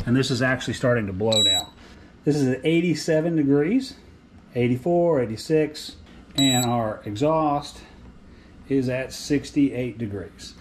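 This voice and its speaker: A man's voice: mid-range voice, darkish tone, reverberant, a little hiss or hum. A middle-aged man casually explaining and demonstrating equipment at a workbench in his home garage. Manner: chatty, hands-on explaining. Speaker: outdoor gear vlogger. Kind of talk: casual product demo.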